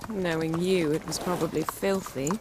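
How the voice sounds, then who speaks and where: An actress playing a proud, sharp-tongued sorceress in a video game, crisp and imperious. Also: female voice, deep voice, steady tone, moderate pace, background noise, natural voice. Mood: teasing. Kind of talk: video game dialogue acting.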